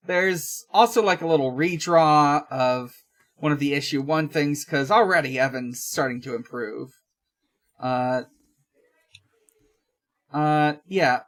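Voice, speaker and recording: A podcast host recapping a comic in casual, low-key talk with halting delivery. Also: a bit bright.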